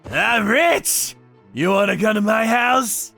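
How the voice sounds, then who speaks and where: A man's voice: sits high, lively intonation, slow, gravelly, sharp tone, soft background music, pitched above the performer's own voice, exaggerated voice. A man voicing a sadistic, spoiled young rich villain in a manga story, lecherous, leering and explosive. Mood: smug.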